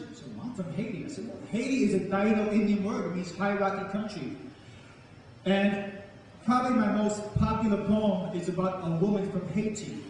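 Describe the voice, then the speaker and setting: A man's voice: sits high, a little mellow. Middle aged new guy doing spoken word live, really echoey, far from the mic. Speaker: poet, guest performer. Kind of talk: live spoken-word performance with informal remarks to an audience. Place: campus event hall, live audience. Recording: echoey hall, distant mic, faint noise.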